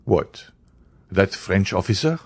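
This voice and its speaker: Audiobook role: impatient young aristocrat , read by a man, proud, wounded, low-pitched, curt and demanding. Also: animated, takes it slowly, breathy edge, full character voice. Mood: shocked, indignant. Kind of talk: dramatised audiobook reading.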